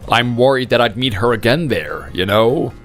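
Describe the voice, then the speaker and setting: A man's voice: medium pitch, lively intonation, normal speed, a bit bright, soft background music, natural voice. An actor playing a weary old father on his deathbed in a drama, confiding with plaintive resignation. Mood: weary, resigned. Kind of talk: dramatic dialogue.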